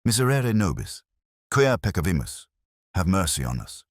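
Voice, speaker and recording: A man narrating a first-person detective story in a solemn, measured, literary manner. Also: deep voice, sing-song, no echo, clean studio sound.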